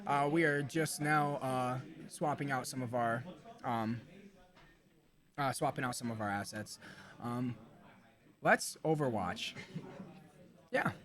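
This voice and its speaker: A slightly hoarse man hosting a live esports stream, commentating casually with informal, joking banter. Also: mid-range voice, slow pace, pauses often, a bit bright.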